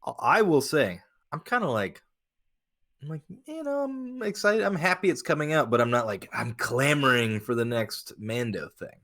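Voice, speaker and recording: A man co-hosting a podcast, chatting casually and giving opinions in a halting, blunt way with some swearing. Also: medium pitch, big pitch swings, fairly bright tone. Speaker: podcast co-host.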